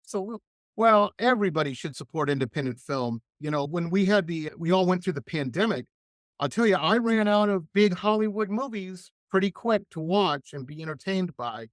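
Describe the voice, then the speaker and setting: Lively, high-voiced male radio host. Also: normal speed, no echo, studio quality. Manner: animated, enthusiastic, chatty. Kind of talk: casual interview chat.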